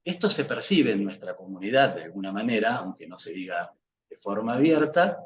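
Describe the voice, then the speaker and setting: A man's voice: lowish voice, big pitch swings, brisk. A man whose first language is Portuguese, giving a reflective academic talk as a psychoanalyst guest, hesitant, with many fillers and restarts. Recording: dull lo-fi sound with faint noise.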